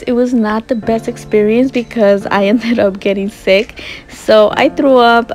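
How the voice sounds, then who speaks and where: A woman in her twenties, from Ecuador, narrating her travel vlog in a chatty, earnest voice-over. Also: a little high. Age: 20s. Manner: chatty, earnest, laughs softly. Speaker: vlogger.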